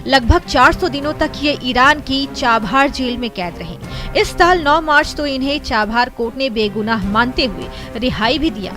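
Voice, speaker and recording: High-voiced woman reading news voice-over. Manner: measured, formal delivery. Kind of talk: scripted news narration. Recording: voice-over with loud music bed.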